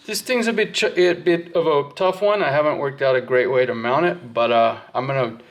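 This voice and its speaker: A man with a noticeably gravelly, fry-edged voice at an average pitch talks through a hands-on job. He sounds informal and unscripted, thinking aloud as he explains each step. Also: fairly quick. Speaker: host of a hands-on wiring walkthrough. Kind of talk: casual workshop explanation while working.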